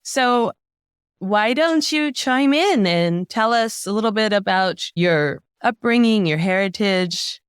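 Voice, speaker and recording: A woman's voice: mid-range voice, animated, takes it slowly, clear, resonant tone, no room sound. A woman hosts a podcast. She reads an earnest scripted opening and then asks interview questions. She stresses key phrases in a mid-range voice, and the sound is dry and close. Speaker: podcast host.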